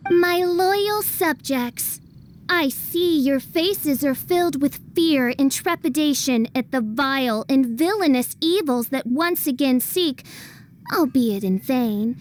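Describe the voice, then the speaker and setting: A woman voicing a theatrical young girl posing as a dark princess in a video game, speaking in grandiose, archaic, regal style. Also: high voice, slow, slight background noise. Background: game music.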